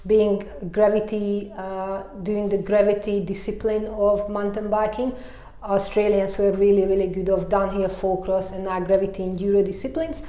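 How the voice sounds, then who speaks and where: A woman's voice: mid-range voice, flat delivery, moderate pace, mellow. A woman from Hungary with a Hungarian accent, a mountain bike coach chatting casually in an interview, laughing often and filling her talk with "you know". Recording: echoey room.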